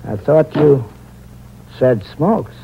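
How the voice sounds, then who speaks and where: A sly little seaman, with a highish, swooping voice and slow, insinuating delivery. He is a cocky blackmailer in a 1940s radio mystery, heard through noisy, muffled old audio. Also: expressive, vocal fry, heavily acted. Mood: alarmed. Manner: insinuating, smug, drawn-out.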